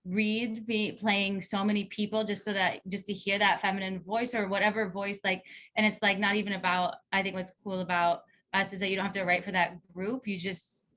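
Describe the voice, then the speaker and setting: A woman with a bright, forceful voice talks in a casual group discussion. She gives feedback as an actor, enthusiastic and loose, wandering from thought to thought at a middle pitch. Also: level tone, a little fast, few pauses. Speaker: actor giving feedback after a table read.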